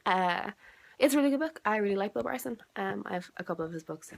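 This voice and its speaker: A woman's voice: mid-range voice, lively intonation, fast, creaky. A young woman with a South Dublin Irish accent and a cold, chatting casually from bed at home, rambling with lots of "like" and "um" and the odd swear. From Ireland. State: has a cold, congested. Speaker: vlogger. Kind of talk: casual chat answering a video challenge.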